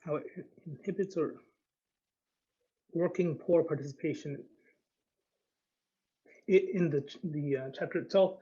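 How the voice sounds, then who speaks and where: A man speaking as an academic guest in an unscripted discussion, thinking aloud in a hesitant, halting way. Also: fairly high pitch, dark tone, husky, lo-fi sound.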